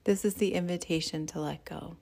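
A woman leading a guided meditation as a teacher, speaking in a soothing, gentle and unhurried way. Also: on the low side, fairly even intonation, vocal fry.

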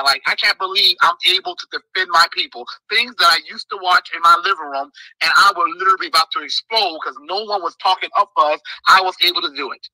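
A man with a strikingly bright, piercing voice delivers a fiery, emphatic speech, hammering the same points again and again. His voice sits high for a man and sounds close and dry. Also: no room sound, rough recording. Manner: forceful, emphatic, repetitive. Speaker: political candidate. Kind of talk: impassioned speech in an online audio room.

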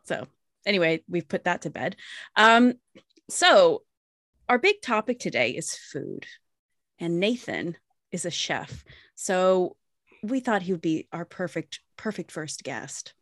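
A woman's voice: on the low side, slow, no echo, studio quality. An American woman hosting a podcast, teasing her guests and swearing freely in casual chat. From United States. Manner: teasing, foul-mouthed, lively. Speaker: podcast host.

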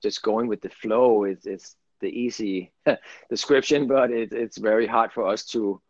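A middle-aged man with a Danish accent and a fairly low voice speaks in a rambling, earnest, conversational way. The sound is dry and close, a little dull and lo-fi. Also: steady tone, a little slow, dry recording. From Denmark. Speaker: guest, spiritual channel. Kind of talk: casual spiritual conversation.

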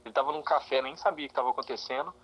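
A man's voice: medium pitch, very fast, a bit bright, rough recording. A Brazilian man telling anecdotes in a casual chat as a podcast guest. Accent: Brazilian Portuguese. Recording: faint background noise.

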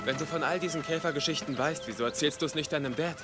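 A man playing a young, earnest investigator in a German TV drama dub, speaking standard German in a gentle, reassuring manner. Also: fairly high pitch, fast, loud music behind the voice, close to an everyday voice. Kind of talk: dubbed TV drama dialogue.

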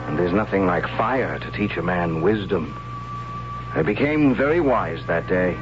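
A man's voice: low voice, lively intonation, measured pace. An actor playing a timid young tribesman who narrates his story in a wry, hesitant way, in a 1970s radio drama. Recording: dull old radio broadcast with music.

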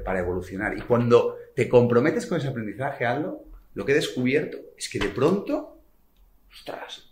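A man from Spain, speaking passionately and colloquially as a guest author in a podcast conversation, with sudden swings in loudness. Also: medium pitch. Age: 40s. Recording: echoey room.

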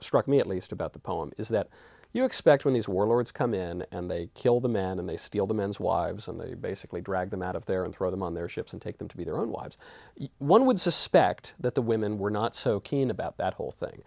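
A man's voice: deep voice, expressive, fairly quick, creaky, a little mellow, no echo. Inquisitive male interview host, dry studio sound. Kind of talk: conversational book discussion.

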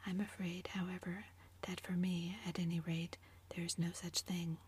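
A woman whispering as a languid witty gentleman. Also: on the low side, flat delivery, moderate pace, a little hiss or hum, lightly acted. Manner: whispered, epigrammatic, drawling. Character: languid witty aristocratic older English gentleman.

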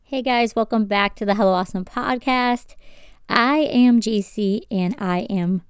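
Young woman podcast host, stuffy sinus voice, very clear and dry sound, earnest faith talk, asks listeners stuff. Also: medium pitch, unhurried, dry recording. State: congested from sinus trouble.

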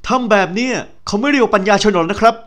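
Man doing stern young man, high-pitched, quick, firm and mocking, audiobook hero. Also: a bit bright. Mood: scolding.

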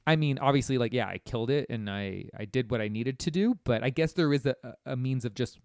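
A man's voice: mid-range voice, fast, gravelly, darkish tone, no echo, studio quality. A man co-hosting a podcast, talking casually and reflectively, posing questions and checking for agreement. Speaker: podcast co-host.

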